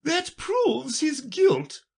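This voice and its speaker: Shrill, imperious queen. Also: wildly swooping pitch, creaky, exaggerated voice. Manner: loud, drawn-out, commanding.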